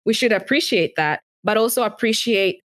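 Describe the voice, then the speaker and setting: A woman's voice: medium pitch, normal speed, dry recording. Brief: young Kenyan-born woman host, casual chat, dry close sound. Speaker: podcast host. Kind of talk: conversational podcast interview.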